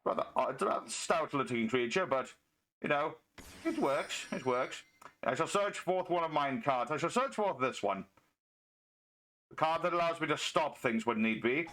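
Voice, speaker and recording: Higher-pitched man as a pompous medieval knight. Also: lively intonation, normal speed, fairly bright tone. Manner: pompous, mock-archaic chivalrous speech. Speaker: gaming video host and player. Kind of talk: in-character card game commentary as a medieval knight.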